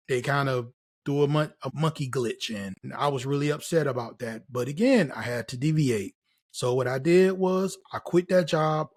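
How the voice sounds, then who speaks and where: Higher-pitched American man giving encouraging advice. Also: darkish tone. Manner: encouraging, emphatic, repeats key phrases. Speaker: host, nursing career mentor. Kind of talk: casual motivational advice to camera.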